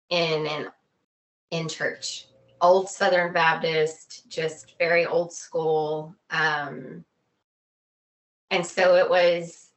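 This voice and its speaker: A woman being interviewed, giving a casual personal account in halting, deliberate phrases. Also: low-pitched, fairly bright tone, rough recording. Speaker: interview guest.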